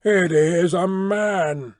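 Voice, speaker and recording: A man voicing a hulking male beast-man who intones a law, with a thick voice and a whistling overtone, in a slow sing-song. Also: high voice, no echo, studio quality. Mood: gruff.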